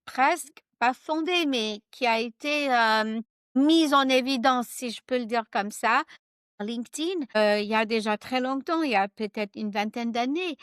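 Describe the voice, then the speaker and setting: A woman guest author talking in a casual interview, animated and hesitant, laughing often and slipping into English. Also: a little high, measured pace, clean tone, dry recording, studio quality.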